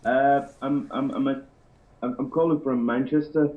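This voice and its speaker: A man's voice: mid-range voice, steady tone, moderate pace. A man from Iran, a native Azerbaijani speaker, chatting casually as a caller, repeating words as he goes. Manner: repeats words, frequent "you know". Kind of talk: casual phone-in chat. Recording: thin, phone-like internet call.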